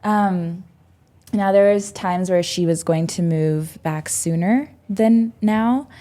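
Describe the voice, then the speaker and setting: A woman's voice: lowish voice, slow pace, warm tone. A woman in her twenties, a podcast guest telling her story reflectively, with many "um"s and "like"s. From United States. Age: 20s. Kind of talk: casual podcast interview.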